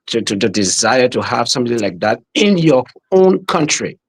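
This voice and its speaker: A man's voice: mid-range voice, very animated, moderate pace, husky. A middle-aged man with a Liberian accent, a minister speaking earnestly in a casual podcast discussion. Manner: earnest, patriotic, repeats words as he talks.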